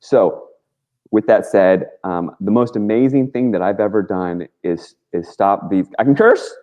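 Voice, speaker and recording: A man's voice: on the low side, unhurried, darkish tone, muffled recording. A man talking as a guest on a talk show, rambling casually and earnestly through his stories in informal, slangy language. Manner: informal and slangy, with frequent "like" and mild swearing.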